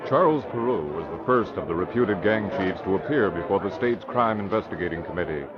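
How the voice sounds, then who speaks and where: A man's voice: sits low, expressive, brisk, over a noisy crowd. A man narrating a scripted radio crime drama in the 1940s style. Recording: muffled old radio broadcast. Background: loud music.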